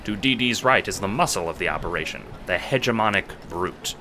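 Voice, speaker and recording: A man's voice: low voice, animated, a little fast, bright, forceful tone, slight background noise. A man narrating a scripted audio drama in the second person, with dramatic storytelling delivery.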